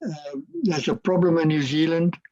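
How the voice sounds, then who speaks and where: An older man with a South African accent, a native Afrikaans speaker, giving a casual interview as a guest and pausing often with fillers and restarts. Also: fairly high pitch, fairly even intonation, mellow, no room sound, lo-fi sound. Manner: hesitant, many fillers and restarts. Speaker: guest, retired air force officer.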